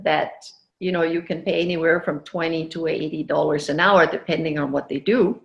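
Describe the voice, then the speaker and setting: A woman from Denmark who grew up in Venezuela, speaking English with an accent as an interview guest, animated and laughing often. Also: low voice, fairly quick, vocal fry, echoey room, highs cut off. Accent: foreign accent. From Denmark, raised in Venezuela. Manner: animated storytelling, laughs often, many restarts. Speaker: entrepreneur guest. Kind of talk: casual interview chat.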